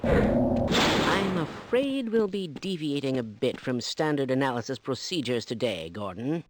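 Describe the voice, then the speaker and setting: An actor playing a cautious, uneasy research scientist in a video game, with a precise, formal and hesitant way of speaking. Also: male voice, medium pitch, very animated, a little slow, echoey room, distant mic, background noise. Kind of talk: video game character dialogue.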